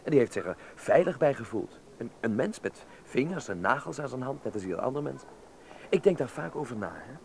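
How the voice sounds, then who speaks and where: A man playing an earnest, sensitive young man in a radio drama, halting and polite. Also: medium pitch, sing-song, vocal fry, a little hiss or hum. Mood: reflective, earnest. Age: young adult.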